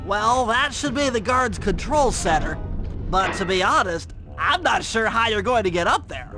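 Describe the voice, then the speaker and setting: A man's voice: high-pitched, animated, moderate pace. A man voicing a nerdy young turtle tech genius in a video game, with a thin nasal voice and a precise, wordy, anxious manner. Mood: cautious. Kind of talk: video game cartoon voice acting. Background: music.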